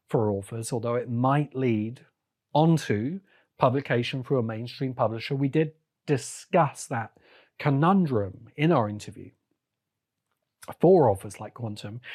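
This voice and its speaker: A man hosting a solo podcast, giving opinionated commentary in deliberate, halting phrases with short bursts of emphasis. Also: mid-range voice, a little mellow. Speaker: podcast host.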